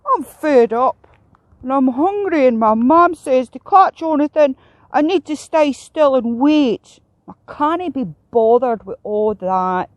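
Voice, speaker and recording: A woman's voice: fairly high pitch, wildly swooping pitch, normal speed, darkish tone, breathy edge, no echo, full character voice. A woman voicing a sulky, fussy young male heron in a children's story, whingeing in a Scottish accent. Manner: whingeing, huffy.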